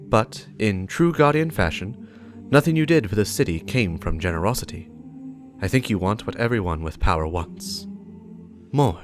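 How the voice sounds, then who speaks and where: A man voicing a stern, righteous warlock, speaking gravely and deliberately in a dramatic lore reading, with emphatic warnings against corruption. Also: lowish voice. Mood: stern, urgent.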